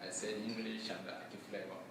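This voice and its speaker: A young man from Congo whose first language is Mashi, speaking earnestly with frequent pauses about his life and schooling. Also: lowish voice, flat delivery, background noise. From Democratic Republic of Congo. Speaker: student presenting his project. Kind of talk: personal testimony and Q&A. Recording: far-off mic in echoey room.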